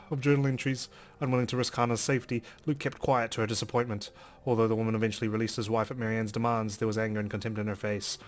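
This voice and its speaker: Expressive male let's player over game music. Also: mid-range voice. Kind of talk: dramatic read-aloud of a visual novel.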